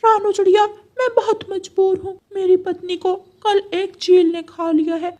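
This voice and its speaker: A woman's voice: very high voice, little pitch movement, normal speed, darkish tone, highs cut off, voice pushed up. A woman voicing a devoted young father parrot with a pleading, plaintive manner in a children's cartoon story.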